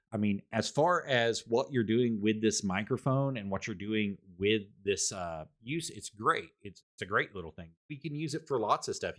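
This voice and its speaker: Rambling man reviewing a microphone. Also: fairly low pitch. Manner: rambling, repeats words, loud voice. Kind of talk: casual product review. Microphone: desktop condenser microphone.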